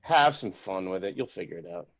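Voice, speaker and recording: A man's voice: low-pitched, lively intonation, fairly quick, creaky, a bit bright, lo-fi sound, full character voice. A man reading the part of a pushy talent agent at a script table read, brusque and commanding. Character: pushy fast-talking male talent agent.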